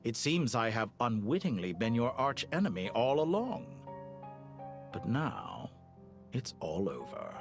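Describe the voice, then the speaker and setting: An actor playing a cold, cultured villainous scientist in a video game, speaking in eloquent, measured, theatrical phrases. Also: male voice, medium pitch, huge pitch swings, gravelly, full character voice. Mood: resigned admiration. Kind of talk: scripted video game voice acting. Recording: music under the voice.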